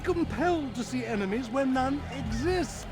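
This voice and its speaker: A man's voice: very high voice, animated, moderate pace. An actor playing a frightened priest who pleads his innocence. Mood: pleading. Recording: game audio with music.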